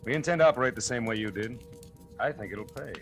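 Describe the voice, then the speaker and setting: An actor in a 1940s radio drama playing a smooth, smug lunch counter proprietor. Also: male voice, mid-range voice, fast, lightly acted. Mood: smug, casual. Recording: old 1940s radio recording, music.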